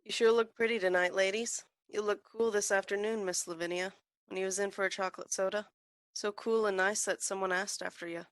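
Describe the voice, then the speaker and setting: A woman's voice: medium pitch, fairly even intonation, brisk, no echo, lightly acted. Woman playing a small-town druggist, dry close sound. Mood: chatty. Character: chatty, careless, apologetic small-town druggist. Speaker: druggist in radio play. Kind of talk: 1940s radio-play acting.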